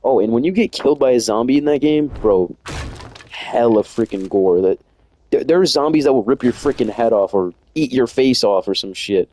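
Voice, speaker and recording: A man's voice: medium pitch, expressive, normal speed, mellow. A young man chatting casually and rambling in slang while streaming a game from home. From United States. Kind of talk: casual chat while playing.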